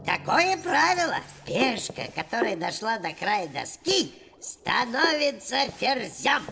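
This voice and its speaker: An actor voicing an old grandfather creature in an animated cartoon, encouraging and instructive. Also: medium pitch, slow pace, creaky, slight background noise, exaggerated voice. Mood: pleased, explaining. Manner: urging, explanatory. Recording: cartoon clip with music behind.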